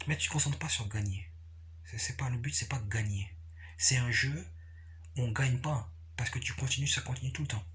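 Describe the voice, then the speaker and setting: A man giving a casual, unscripted explanation, repeating himself haltingly and often checking that the listener follows. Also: mid-range voice, fairly bright tone. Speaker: capoeira practitioner sharing views.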